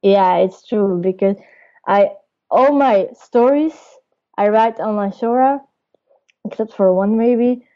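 A woman's voice: mid-range voice, takes it slowly. A young woman in her twenties from Belgium, answering interview questions. She speaks hesitantly and searches for her words. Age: 20s. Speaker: storyteller guest. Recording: dull lo-fi audio.

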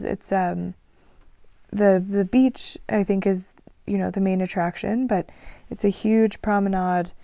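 Woman, heavy vocal fry, mellow low voice, casual rambling vlog chat, ums and restarts, dull dry lo-fi sound. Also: no room sound. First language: English. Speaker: vlogger.

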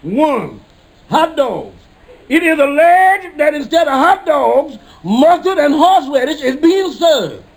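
An actor playing a pompous, blustering lawyer in 1950s radio comedy, declaiming complaints in mock-legal fashion. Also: male voice, extremely high pitch, big pitch swings, slow pace, vocal fry, exaggerated voice, rough recording. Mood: pompous, indignant. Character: Calhoun, pompous blustering male lawyer lodge member.

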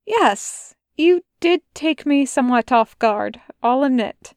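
A woman's voice: sits high, lively intonation, takes it slowly, darkish tone, clear, resonant tone, no echo, full character voice. A woman reading a fan audiobook, voicing a fussy, prim male angel who stumbles over his words. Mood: flustered.

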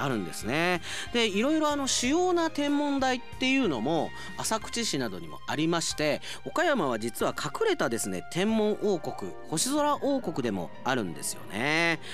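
A man's voice: on the high side, a bit bright. A man hosting a radio programme as an announcer, presenting in a chatty style from a studio.

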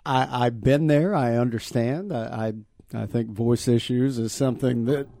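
A male sports broadcaster chatting in a radio studio, easygoing and a bit halting. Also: medium pitch, gravelly, mellow, no room sound. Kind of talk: casual studio talk.

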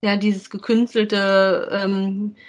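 A woman with a medium-pitched voice speaks in a casual podcast style. She is informal and forms her opinions aloud as she goes. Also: little pitch movement, drawn-out words. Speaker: podcast co-host. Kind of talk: casual conversational review of audio dramas.

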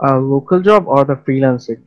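A man's voice: medium pitch, fairly quick, lo-fi sound. A young adult man with a Bangladeshi accent, answering casually as an interview guest. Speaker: interview guest, freelance web developer.

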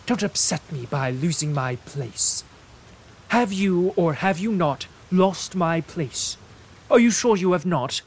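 A man putting on a whining, fussy invalid gentleman. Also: high-pitched, animated, slow, slight background noise, voice pushed up, exaggerated voice. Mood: peevish. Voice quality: whining, affected and petulant.

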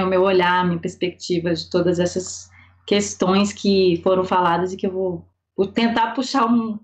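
A woman in her forties with a Minas Gerais accent, a costume designer speaking on an online panel over a video call, thoughtful and full of fillers and restarts. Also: mid-range voice. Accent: Minas Gerais Brazilian Portuguese. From Brazil. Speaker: costume designer and theater teacher.

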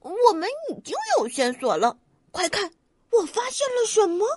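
An excitable little rabbit bursting with eager cries, as a woman storyteller puts him on. The voice sits high and takes its time. A dry, close storybook reading with faint music behind. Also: unhurried, no echo. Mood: excited. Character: excitable bold male rabbit adventurer.

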